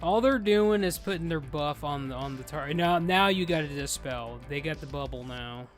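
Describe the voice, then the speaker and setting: A man in his thirties chatting casually while streaming a game with friends, loud and excitable and swearing freely. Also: fairly high pitch, moderate pace, faint music behind. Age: 30s. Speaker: streamer leading a game group. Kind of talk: casual live gameplay chat.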